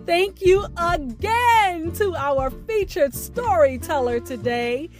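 Slow-spoken, high-voiced woman hosting over music. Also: expressive. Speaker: podcast host. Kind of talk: scripted podcast outro narration. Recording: music bed behind the voice. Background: instrumental music.

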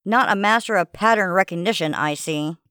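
A man's voice: high voice, fairly quick, sharp tone, clean tone, no echo, studio quality, voice pushed up. A man voicing a spoiled, haughty female talking sword princess, nagging and indignant, in a fantasy audiobook. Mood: indignant taunting.

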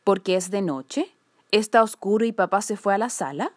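A woman's voice: mid-range voice, animated, normal speed, dry recording, clean studio sound, natural voice. A woman voicing an earnest, brave little girl in a children's storybook, polite and plaintive. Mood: sad, wondering.

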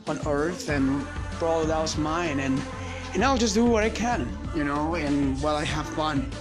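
A man whose first language is Spanish, giving a rambling, motivational solo podcast monologue in casual chat. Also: a little high.

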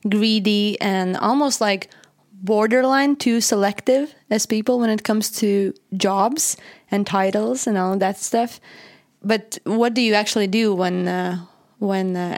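A young woman from Latvia, native in Latvian, chats her way through a podcast with quick laughs and self-mocking asides. Her voice sits at a middle pitch. The sound is dry, with no room around it. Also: dry recording. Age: young adult. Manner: chatty, laughs often, self-deprecating. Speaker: podcast co-host. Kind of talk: casual podcast chat.

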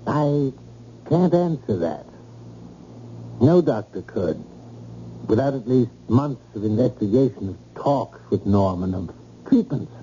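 A man's voice: mid-range voice, lively intonation, unhurried, long pauses, dark tone, a little hiss or hum, lightly acted. A man playing a grave, earnest doctor with emphatic rhetorical phrasing, in old-time radio drama style on a muffled recording.

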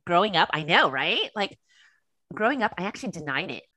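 A woman with a Californian American accent chatting casually as a podcast guest, telling personal stories and acting out bits of dialogue in an animated way. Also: lowish voice, brisk, dry recording. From Los Angeles, USA. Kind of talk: casual chat, personal storytelling.

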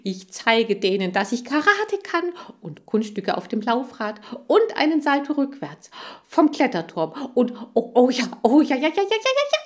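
Children's audiobook hamster, played by a woman: young male, cocky, gushing, very high and swooping. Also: moderate pace, few pauses. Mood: wildly excited. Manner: gushing, repeats words excitedly. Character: excitable, boastful young male hamster narrator Neo.

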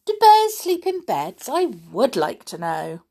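Woman doing a fussy little girl from a fairy tale, high voice, very expressive and kiddish. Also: measured pace, heavily acted. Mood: curious. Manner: expressive, emphatic childlike delivery. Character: naughty little girl, curious, self-indulgent and fussy.

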